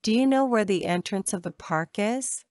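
A woman reading short English phrases for a language lesson, saying each one slowly and carefully twice. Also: mid-range voice, lively intonation, a little mellow, no room sound, clean studio sound. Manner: measured, careful enunciation. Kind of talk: slow repeated drill phrases.

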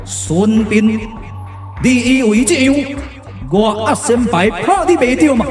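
A man with a Taiwanese accent voicing a boastful, scheming immortal warlord in a theatrical puppet radio drama, declaiming in drawn-out phrases. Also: extremely high pitch, animated, heavily acted. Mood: gloating, menacing. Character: menacing immortal warlord villain, boastful, scheming, easily thwarted. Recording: echoey reverb with music behind.

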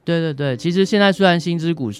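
A man's voice: a little high, fast, clear, resonant tone. A man with a Taiwanese Mandarin accent, a doctor answering as an interview guest, explaining things in a hesitant way with frequent tag particles.

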